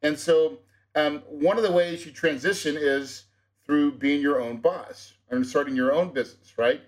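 A man with a fairly high voice hosts a casual podcast interview as a real estate coach. He talks energetically and persuasively, tossing quick confirmations at his guest. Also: low-quality recording. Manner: energetic and persuasive, tags with "right?".

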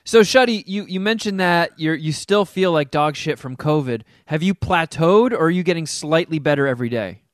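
A man's voice: a little high, expressive, moderate pace, few pauses, no echo, clean studio sound. A man hosting a casual podcast, asking his co-hosts teasing questions. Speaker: podcast host.

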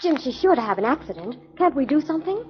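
Worried young assistant. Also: female voice, sits high, sing-song, fast talker. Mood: alarmed. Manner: breathless, anxious exclamations. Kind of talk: 1940s radio acting. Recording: noisy dull 1940s radio recording.

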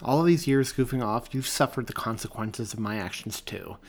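A man voicing an easygoing, reckless male police partner who shouts in exasperation and then talks his friend round, in an audiobook. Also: mid-range voice, expressive, moderate pace, gravelly. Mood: coaxing. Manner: loud, exasperated then coaxing.

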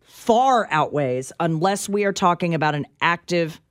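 A woman's voice: on the low side, expressive, unhurried, no room sound. An American woman co-hosting a radio talk show, speaking in an emphatic, argumentative way into a close studio mic. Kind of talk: opinionated talk radio discussion. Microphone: close studio microphone.

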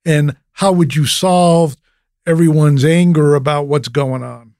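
A man hosting an interview, firing blunt and quick questions at his guests. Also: a little high, steady tone, darkish tone, dry recording, studio quality. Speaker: interview host. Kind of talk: podcast interview.

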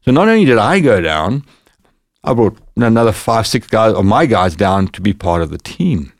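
Podcast guest, Zimbabwean man, low-ish voice, animated storyteller, dry room. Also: normal speed, no room sound. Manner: animated anecdotes, lively quoted dialogue. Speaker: podcast guest, former bodyguard. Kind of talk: casual storytelling.

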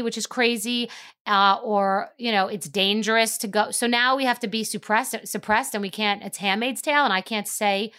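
A woman's voice: a little high, fairly bright tone, clean tone. A woman hosting a podcast, talking emphatically in a casual monologue and rising to incredulous outbursts. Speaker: podcast host.

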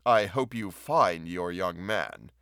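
Man playing sleek male florist, smug, condescending, slow affected drawl, storybook reading, dry sound. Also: medium pitch, sing-song, a bit bright, no room sound. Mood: oily, sly. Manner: drawn-out, affected, unctuous delivery.

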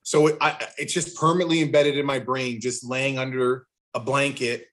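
A man's voice: medium pitch, flat delivery, moderate pace, a bit bright. Middle-aged man chatting on a podcast. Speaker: podcast panelist. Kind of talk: casual panel chat.

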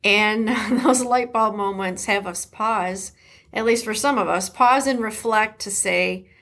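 A woman's voice: mid-range voice, measured pace. A middle-aged woman from Detroit with a Midwest accent, hosting her podcast in a chatty, emphatic way. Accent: Detroit Midwest American. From Detroit, USA. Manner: warm, chatty, emphatic, with laughs and shout-outs. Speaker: podcast host. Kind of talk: casual solo podcast talk.

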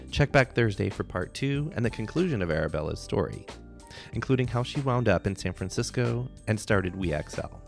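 A man hosting a podcast, reading a measured intro. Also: lowish voice, animated, creaky, faint music behind. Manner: measured, with short affirming murmurs. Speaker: podcast host. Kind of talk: scripted podcast intro and interviewing.

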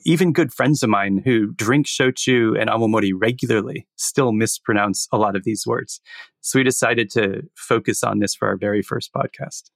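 A man's voice: lowish voice, normal speed, creaky, no echo. A man co-hosting a podcast, from the United States, talking in an easygoing way and laughing often. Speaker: podcast co-host. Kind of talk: casual podcast chat.

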